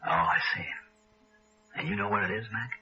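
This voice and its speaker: An actor playing a hardboiled but decent American insurance investigator in a 1960s noir radio drama. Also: male voice, on the low side, brisk, pushed and bright, a little hiss or hum. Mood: gentle, concerned. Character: hardboiled male freelance insurance investigator, brisk yet decent. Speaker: lead actor and narrator. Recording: dull old radio broadcast, music behind.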